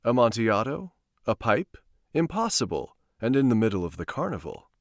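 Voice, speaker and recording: A man's voice: mid-range voice, big pitch swings, normal speed, no room sound, studio quality. A man playing a tipsy, boastful nobleman from a gothic story, exclamatory and insistent. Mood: incredulous, excited. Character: tipsy, boastful, excitable Italian nobleman at carnival.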